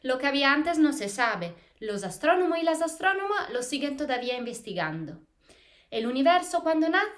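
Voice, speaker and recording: A high, animated woman's voice with an Italian accent, explaining science to students with plenty of expression. She is a researcher in her thirties, speaking in a small plain room to a camera a few feet off. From Italy. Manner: expressive, lively, gestures while explaining. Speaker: postdoctoral astrophysics researcher. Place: small plain indoor room against a wall. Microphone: camera a few feet away, head to waist framing.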